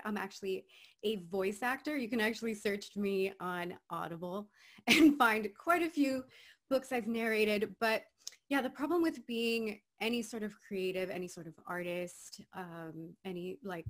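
A woman from Miami, Florida, a voice actor who is a guest on an interview podcast, talking in an upbeat way and laughing often. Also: mid-range voice. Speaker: guest, voice actor and agency owner. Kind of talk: conversational interview.

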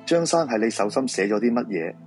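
A man's voice: mid-range voice, animated, a little fast, music in the background, natural voice. A man with a Hong Kong Cantonese accent acting a weary, persuasive feng shui master in a supernatural radio drama. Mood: sober, persuading.

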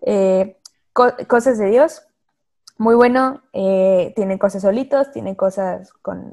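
A young woman chats casually as a podcast host. Her voice is mellow and dark-toned, and her talk is full of fillers and easy laughter. She keeps it friendly and loose. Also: mid-range voice. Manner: chatty, laughs often, many fillers. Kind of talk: casual faith podcast chat.

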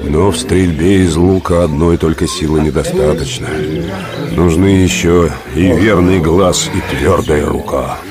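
A man playing a gruff middle-aged country squire in a radio play, speaking in a measured, weighty way. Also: low-pitched, fairly even intonation, a loud crowd. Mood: doubtful, measured. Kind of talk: Russian radio play acting. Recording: loud music behind.

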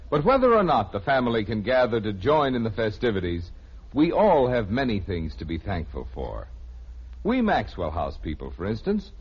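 A man's voice: lowish voice, husky. An American man reading a scripted sponsor message as an old-time radio announcer. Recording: dull old radio broadcast recording.

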